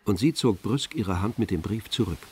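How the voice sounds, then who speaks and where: A man narrating a literary radio drama in a measured, composed, knowing manner. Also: on the low side, animated, darkish tone, slightly rough.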